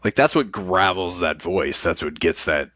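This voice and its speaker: A man's voice: low-pitched, normal speed, a bit bright, no room sound. Blunt male podcaster, dry close sound. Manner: blunt, emphatic, swears casually. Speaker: podcast host. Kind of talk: casual chat.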